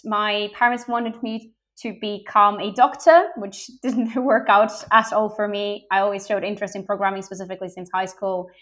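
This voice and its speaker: A woman's voice: medium pitch, fairly bright tone. Enthusiastic young woman recruiter guest. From Bulgaria, raised in Greece. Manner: open, enthusiastic, frequent ums. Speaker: guest, game industry recruiter. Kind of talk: podcast interview answers.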